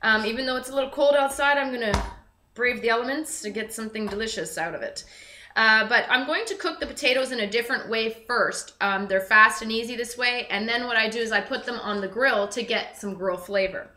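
A woman's voice: fairly high pitch, fairly quick, pushed and bright. A young woman giving a casual, chatty cooking demonstration to camera, thinking aloud as she works. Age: young adult. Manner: chatty, informal, thinking aloud while working. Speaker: cooking show host. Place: indoor home kitchen. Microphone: camera mic a few feet away. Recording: roomy, echoey, noisy kitchen recording.

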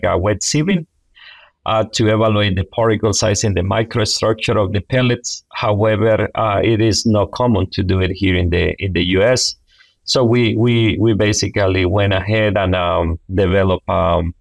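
A man's voice: lowish voice, vocal fry, dry recording. A middle-aged man from Honduras with a Honduran Spanish accent, explaining things as an interview guest on a video call. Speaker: guest, poultry science professor. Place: small indoor office, video call. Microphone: wireless earbuds on a video call.

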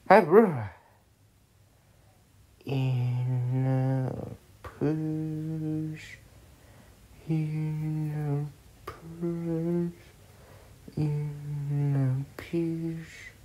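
A young adult performing voice lines theatrically, swinging between hushed chanting and loud exclamations. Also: mid-range voice, very slow, long pauses.

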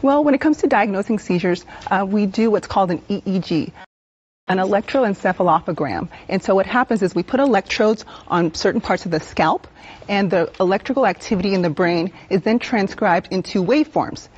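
A woman's voice: mid-range voice, expressive, brisk, darkish tone, breathy edge. A woman in her thirties with an American accent, explaining in plain, informative terms as an expert guest in a TV interview. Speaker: emergency room nurse and expert guest. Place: TV news studio. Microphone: lapel mic.

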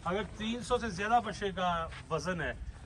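A man's voice: high-pitched, a little slow, fairly bright tone. A man with a Pakistani accent hosting an outdoor livestock video, addressing viewers and briefly echoing what he is told. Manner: frequent short acknowledgements and repeats. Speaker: video host, interviewer. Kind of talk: livestock market video presenting. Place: open-air cattle farm yard. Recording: faint outdoor and vehicle noise.

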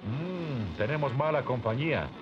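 A man's voice: medium pitch, animated, a little slow, lightly acted. A man with a Latin American accent voicing a good-natured, assured young hero prince in a cartoon. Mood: teasing. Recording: dull dub under loud cartoon music.

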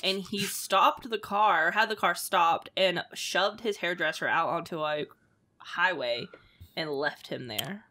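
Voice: mid-range voice, measured pace, bright, forceful tone. A podcast co-host at a table mic, telling a story in a casual, giggly way. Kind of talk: casual chat. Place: living room studio. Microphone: desk mic on stand.

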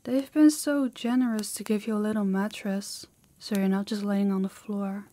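A woman's voice: mid-range voice, steady tone, moderate pace, dark tone, natural voice. A woman voicing a harsh, dominant female demon in an intimate audio roleplay, with a haughty, commanding, taunting manner. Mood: taunting, possessive. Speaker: voice actor.

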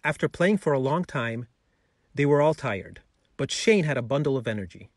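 A man's voice: medium pitch, expressive, moderate pace, no room sound, studio quality. A man narrating a scripted bedtime story for children as a storyteller.

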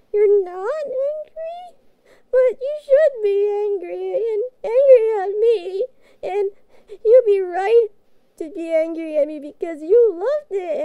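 A woman playing a childlike, guilt-ridden catgirl pet in a fantasy audio roleplay, speaking in halting, pleading, naive phrases. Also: very high voice, warm tone, no echo, full character voice. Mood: upset, self-blaming.

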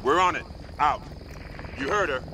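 An actor playing a gruff, dutiful army squad sergeant in a military video game, giving clipped orders and radio replies. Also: male voice, on the high side, normal speed, noisy background, lightly acted. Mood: businesslike. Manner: clipped, commanding radio procedure.

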